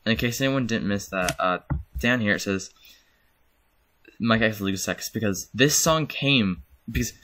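Rambling young man, bright voice. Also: on the low side, moderate pace, soft background music. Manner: rambling, self-correcting, chuckles. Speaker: channel host. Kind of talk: casual screen-recorded commentary.

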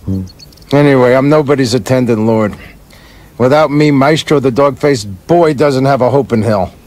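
An actor in a radio play as a bitter, boozy screenwriter, brash, profane and sardonic, always needling. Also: male voice, mid-range voice, moderate pace, a little mellow, lightly acted. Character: bitter, boozy, wisecracking male screenwriter with broken leg.

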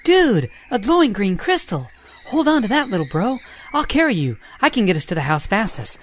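A woman's voice: medium pitch, very animated, normal speed, a little hiss or hum, close to an everyday voice. A woman voicing a cocky, carefree young male hedgehog hero with breezy, slangy delivery in an amateur radio drama. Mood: concerned.

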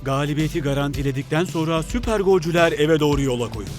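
A slick reality TV narrator, voiced by a man, in a fairly high voice. He delivers scripted cartoon narration with drama, over faint music. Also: fast, darkish tone, natural voice. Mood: suspenseful.

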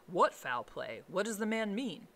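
A woman reading as a brisk, proper gentleman lawyer. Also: fairly low pitch, big pitch swings, exaggerated voice. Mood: alarmed, irritated. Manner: brisk, formal, questioning, peevish.

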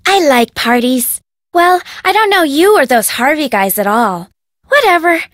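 A woman's voice: high voice, very animated, measured pace, a bit bright, no room sound, studio quality, full character voice. A woman voicing a bubbly, bossy, spoiled rich young heiress in a video game, with an American accent and perky, dramatic, casual phrasing. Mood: gushing. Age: young adult.

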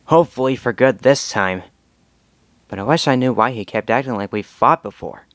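Young male cartoon dragon hero, performed by a man: confident, earnest team leader, mid-pitched, very dry close sound. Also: normal speed, fairly bright tone, no echo, lightly acted. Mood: thoughtful. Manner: earnest, commanding, rallying. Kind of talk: scripted cartoon fandub audition lines.

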